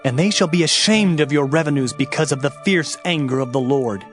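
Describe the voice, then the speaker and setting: An actor playing a fervent, sorrowful Hebrew prophet, narrating scripture in a solemn, declamatory, emphatic way. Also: male voice, on the high side, brisk, lightly acted. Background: music.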